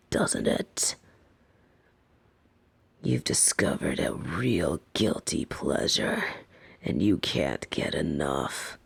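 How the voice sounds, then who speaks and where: A woman plays a female lamia captor in an intimate fantasy audio roleplay. She speaks slowly and breathily, often slipping into whispers and creaky tones. Her pitch moves freely as she patronizes and teases with soft, possessive dominance. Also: full character voice. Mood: seductive, taunting. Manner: slow, teasing, patronizing.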